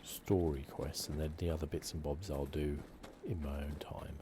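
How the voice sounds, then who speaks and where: An extremely deep-voiced man hosts a relaxed ASMR video game playthrough. He talks in a hushed, unhurried and slightly hesitant way. Clear noise and game ambience sit behind his voice. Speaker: gaming channel host.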